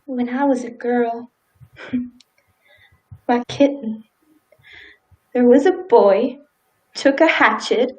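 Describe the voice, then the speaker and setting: Woman acting a timid, high-voiced small-town wife. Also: dull audio. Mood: troubled. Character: timid, polite, sympathetic small-town wife Mrs. Peters. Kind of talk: live stage radio play acting.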